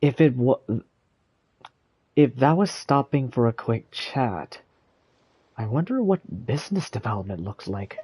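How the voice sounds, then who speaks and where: Brief: sardonic adult male game character; husky mid-range voice, weary and dry delivery. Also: mid-range voice, expressive, a little slow, pauses often, mellow, dry recording. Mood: exasperated. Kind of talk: video game character acting.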